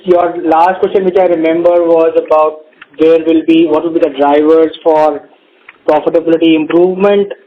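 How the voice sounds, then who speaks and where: Casting: Indian male executive on an earnings call, higher-than-average pitch, measured and halting delivery, dull audio. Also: level tone. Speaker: company executive answering analysts. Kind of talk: question-and-answer on an earnings call. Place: conference call. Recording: muffled conference audio with faint noise.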